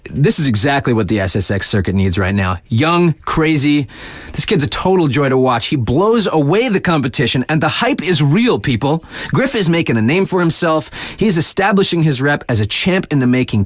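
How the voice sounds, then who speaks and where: Lively, slangy snowboard radio DJ. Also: male voice, on the high side, no echo, studio quality. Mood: hyped, playful. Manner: slangy, playful, conversational hype. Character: laid-back, excitable young male snowboard radio DJ. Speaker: radio DJ and sports announcer. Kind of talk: hyped snowboard radio show commentary in a video game.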